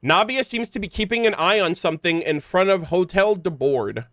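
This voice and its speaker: A man's voice: high voice, lively intonation, normal speed, a bit bright, slight background noise. A man doing casual, rambling livestream game commentary, informal and stumbling a little when he reads aloud. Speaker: game streamer. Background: video game music.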